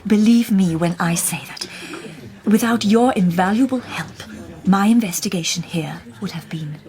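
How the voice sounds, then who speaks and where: An actress playing a poised, shrewd Victorian lady detective in a radio drama, speaking softly and breathily, half in a whisper. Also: medium pitch, moderate pace, lightly acted. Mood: measured, knowing.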